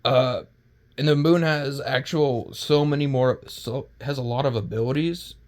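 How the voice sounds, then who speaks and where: A man giving casual, unscripted commentary as a video creator, rambling and halting, often stumbling over words and starting again. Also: mid-range voice, darkish tone.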